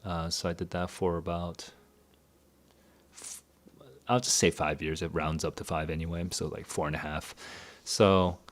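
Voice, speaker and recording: A man's voice: low-pitched, fairly even intonation, measured pace, long pauses. A man in his thirties, a tutor, answering viewer questions in a candid, rambling livestream chat. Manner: candid, rambling, thinks aloud. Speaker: tutor and educator. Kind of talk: casual livestream Q&A.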